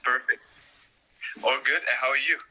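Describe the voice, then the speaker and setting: Bright-voiced host on a video call. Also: male voice, mid-range voice, fairly even intonation, normal speed, slight background noise, rough recording. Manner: hesitant, frequent fillers. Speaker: host of collector talks. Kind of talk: live-stream interview hosting.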